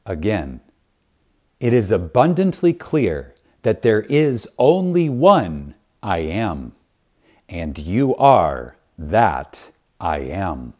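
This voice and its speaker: A man narrating a spiritual audiobook in a reverent, deliberate manner, with dramatic pauses and strong emphasis. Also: deep voice, very animated, a little mellow. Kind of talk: scripted audiobook reading of a spiritual text.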